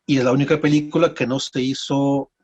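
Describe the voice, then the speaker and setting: A man from Mexico with a Mexican accent, telling stories casually as an interview guest in a rambling, halting way with repeated words. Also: mid-range voice, little pitch movement, darkish tone. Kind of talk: casual conversational storytelling.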